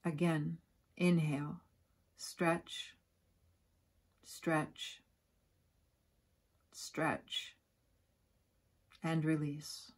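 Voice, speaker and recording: Woman, meditation guide, husky breathy voice, very slow, soothing, gentle instructions, long pauses. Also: deep voice, flat delivery. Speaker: yoga nidra guide.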